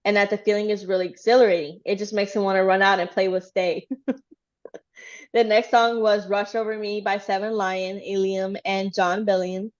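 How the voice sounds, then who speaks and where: A woman talks loosely through a fan recap, full of "uh"s and "like"s. She grew up in Texas and speaks at an ordinary, mid-range pitch. The sound is a bit dull and lo-fi. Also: level tone, moderate pace. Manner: chatty, rambling, lots of fillers. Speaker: fan channel host. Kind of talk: casual recap of a livestream.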